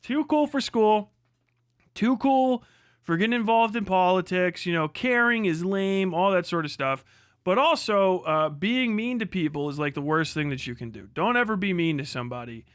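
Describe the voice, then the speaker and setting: High-pitched American man ranting bluntly. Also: animated, moderate pace, no room sound, clean studio sound. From United States. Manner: blunt, irreverent, emphatic. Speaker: livestream host. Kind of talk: casual, profane opinion rant.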